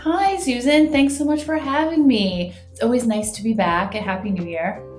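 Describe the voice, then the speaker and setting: A woman's voice: on the high side, moderate pace, darkish tone. Female business guest, conversational and explanatory, echoey room sound, light music behind. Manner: conversational, frequent "like" and "right?" tags. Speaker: guest, marketing executive. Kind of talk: explanatory business interview talk.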